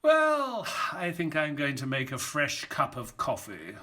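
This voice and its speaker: An actor playing a polite, amiable doctor, a detective's loyal sidekick, courteous and earnest in an audio play. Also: male voice, on the high side, wildly swooping pitch, a little slow, bright, forceful tone, exaggerated voice. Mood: put out, questioning. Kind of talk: audio play acting and narration.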